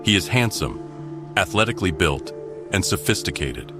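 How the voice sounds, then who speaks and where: A man's voice: sits low, sing-song, normal speed, creaky. A man reading dramatic scripted narration for an audio drama. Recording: loud music underneath.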